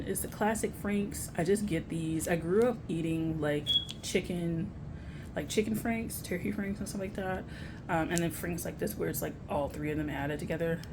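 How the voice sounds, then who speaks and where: Young American female vlogger, low pitch, informal slangy delivery, noisy home recording. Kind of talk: casual grocery haul chat to camera.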